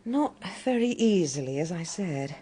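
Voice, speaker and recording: A poised Englishwoman in a naturalistic radio play, confiding and regretful. Her voice moves widely in pitch, with careful phrasing and small hesitations. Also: big pitch swings, normal speed, warm tone. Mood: guarded, candid. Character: adult female office colleague, confiding, regretful, gently forward. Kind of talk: naturalistic British radio drama acting.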